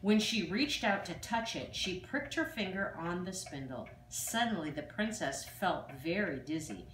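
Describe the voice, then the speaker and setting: An older woman reads a children's fairy tale aloud, with expressive, dramatic emphasis on exclamations. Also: on the low side, unhurried. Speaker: storyteller. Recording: distant, echoey room with faint noise.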